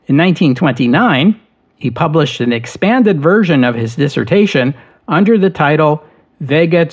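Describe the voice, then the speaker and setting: Man narrating history, slightly muffled. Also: mid-range voice. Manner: measured, steady storytelling delivery. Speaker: history podcast host.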